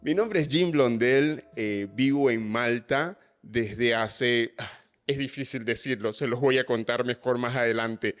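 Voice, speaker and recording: A young Venezuelan man hosting a casual interview, chatty and animated, with jokey asides. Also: mid-range voice, unhurried, clear, resonant tone, soft background music. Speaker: host.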